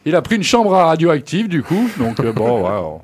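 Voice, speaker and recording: A man co-hosting a local radio show, loud and jokey, laughing often, in a small studio on a microphone. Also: on the high side, wildly swooping pitch, normal speed. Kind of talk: casual radio chat.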